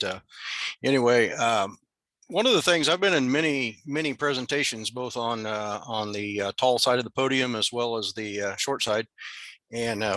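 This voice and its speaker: A man's voice: medium pitch, a bit bright, no room sound. An older American man from Nebraska giving an informal presentation to a club in an easygoing, self-deprecating way. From Nebraska, USA. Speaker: guest presenter at an amateur radio club. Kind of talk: informal presentation over video call.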